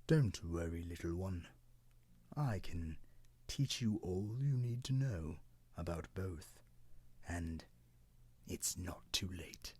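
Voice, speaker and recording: A man voicing a very old, wise male cedar tree with a deep, gentle, slow, matter-of-fact manner. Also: very animated, breathy edge, full character voice.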